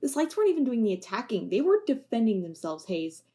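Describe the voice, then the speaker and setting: A woman's voice: on the high side, sing-song, fast talker, warm tone. A woman playing a former police officer, Riley, weighed down by guilt, with a wry, sarcastic way of talking. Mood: impassioned. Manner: wry, sarcastic, turning impassioned. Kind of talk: amateur audio drama acting.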